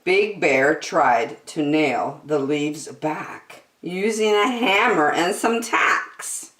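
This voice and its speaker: A woman reading a children's storybook aloud in an expressive, deliberate storytelling manner. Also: deep voice. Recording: roomy, echoing sound.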